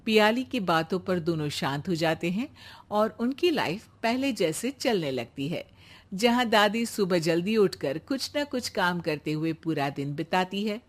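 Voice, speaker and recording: A woman narrating a bedtime cartoon story in a scripted, expressive way. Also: medium pitch.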